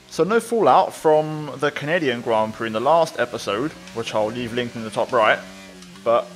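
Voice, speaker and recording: A man's voice: medium pitch, a bit bright, slight background noise. A man giving casual, running commentary as he plays a racing game. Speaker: gaming video creator.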